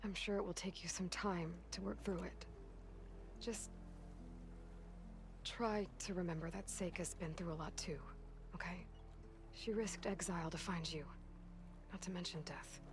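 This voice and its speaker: An actress playing a young, modest and frank heroine in a video game cutscene, with an American accent and a reassuring, natural delivery. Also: female voice, lowish voice, steady tone, measured pace, pauses often. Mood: gentle, encouraging. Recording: loud music behind the voice.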